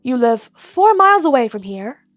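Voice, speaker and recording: A woman's voice: high-pitched, very animated, moderate pace, dry recording, clean studio sound, faint music behind. Woman as long-suffering male cat police officer. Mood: exasperated. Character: exasperated, weary male feline police officer.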